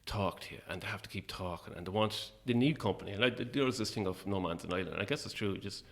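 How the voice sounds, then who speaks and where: A man's voice: on the low side, fast, creaky. A man chatting casually as an interview guest about his own creative work, in a hesitant, reflective way. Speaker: playwright and actor, interview guest.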